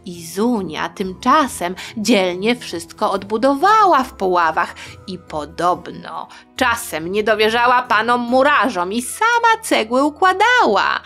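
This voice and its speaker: A woman's voice: on the high side, sing-song, measured pace, bright, forceful tone, soft background music. A woman storyteller narrating history for young listeners in a chatty, colloquial, tongue-in-cheek way. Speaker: storyteller and host.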